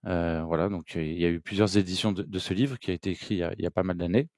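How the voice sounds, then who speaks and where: A man's voice: deep voice, racing, darkish tone, no room sound, clean studio sound. A man interviewing a guest over a video call, asking several follow-up questions in a row. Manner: asks questions in a string of follow-ups.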